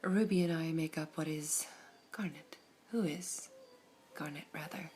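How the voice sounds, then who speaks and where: Serene formal gem lady as a woman voices her, ASMR whisper, low-pitched, faint music behind. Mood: calm whispering. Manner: soft whispering, measured and formal. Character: serene all-seeing female gem, calm and formal. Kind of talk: ASMR roleplay.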